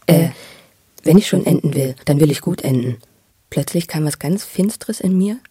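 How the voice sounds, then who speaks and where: A man, probably middle-aged, tells his own story in a husky voice, halting and repeating words with intensity. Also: on the high side, animated, mellow, no echo, studio quality. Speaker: interviewee telling his life story. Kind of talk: spontaneous, emotional personal storytelling.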